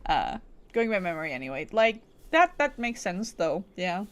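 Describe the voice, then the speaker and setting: A woman's voice: on the low side, very animated, normal speed. A woman streamer giving casual, playful and reactive commentary as she plays through a game.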